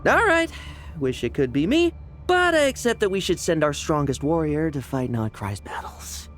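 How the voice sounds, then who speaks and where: Earnest, spirited young fighter. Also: female voice, deep voice, big pitch swings, normal speed, soft background music. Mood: accepting, determined. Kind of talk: video game voice acting.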